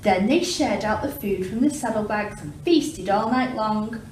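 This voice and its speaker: Female children's story reader, animated intonation, measured rhythm, slightly distant mic with background noise. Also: mid-range voice, roomy sound. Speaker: primary school teacher reading aloud. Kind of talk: children's storybook reading in rhyme.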